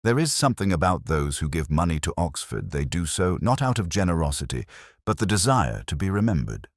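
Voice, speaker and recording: Very deep-voiced man narrating detective fiction. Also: big pitch swings, no echo, studio quality. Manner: measured, brooding, literary phrasing with deliberate pauses. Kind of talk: first-person detective story narration, scripted.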